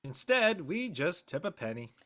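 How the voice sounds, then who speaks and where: A man's voice: on the high side, big pitch swings, moderate pace, a bit bright. A man playing an easygoing, wry teenage boy, speaking casually in a drama read.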